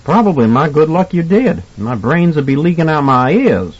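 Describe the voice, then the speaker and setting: Audiobook part: jovial avuncular mentor, acted by a male narrator, husky and colloquial, lively intonation, lo-fi recording. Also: on the high side, normal speed, dry recording, voice pushed up. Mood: shaken, reassuring. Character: jovial, kindly older man, folksy mentor. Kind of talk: audiobook character acting.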